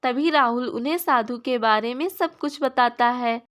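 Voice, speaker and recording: Higher-pitched woman narrating children's stories. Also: measured pace, fairly bright tone. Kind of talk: scripted children's story narration.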